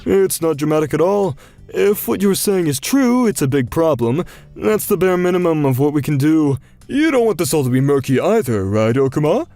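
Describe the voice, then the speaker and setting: A man voicing a stern manager who speaks in a firm, measured, pointed way in manga dub dialogue. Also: on the high side, very animated, dark tone, soft background music. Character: stern, measured male manager probing a dispute.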